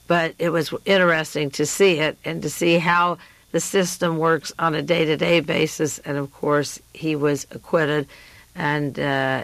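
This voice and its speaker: A woman hosting a radio show, delivering a rambling political monologue with frequent pauses. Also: sits low, no echo.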